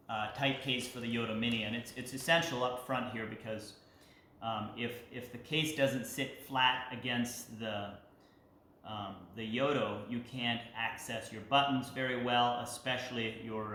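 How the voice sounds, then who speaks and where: Man explaining haltingly in a very echoey room. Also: medium pitch, pushed and bright. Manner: halting, pausing mid-sentence. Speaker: leathercraft instructor. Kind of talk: casual hands-on tutorial explanation. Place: large indoor workshop with concrete floor. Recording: echoey roomy sound, clear background noise.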